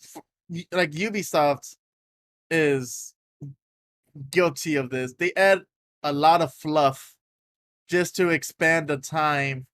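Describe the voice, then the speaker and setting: A man co-hosting a casual gaming podcast, loud and animated, tripping over restarts as he makes his points. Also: fairly high pitch, slow pace, long pauses, no echo. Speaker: podcast co-host. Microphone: desk mic, podcast setup.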